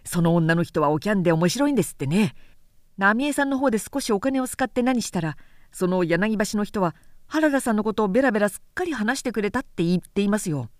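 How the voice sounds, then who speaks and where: A woman's voice: medium pitch, lively intonation, brisk, no room sound, studio quality, lightly acted. A woman voicing a blithe young samurai gossip in an audiobook, chattering bluntly and breezily. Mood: breezy, gossipy.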